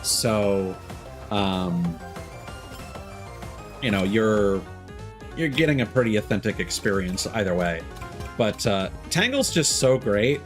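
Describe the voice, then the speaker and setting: A man with a lowish voice gives casual live stream commentary. He is chatty and halting, thinking aloud as he plays, with clear game music behind him. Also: lively intonation. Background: video game music.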